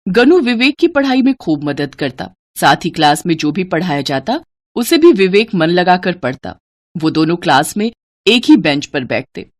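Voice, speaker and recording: Expressive woman storybook narrator. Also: lowish voice, normal speed.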